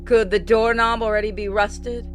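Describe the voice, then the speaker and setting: A woman's voice: fairly high pitch, level tone, moderate pace, faint music behind. A woman hosting a game playthrough, reading on-screen dialogue aloud and adding casual asides. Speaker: gaming video host.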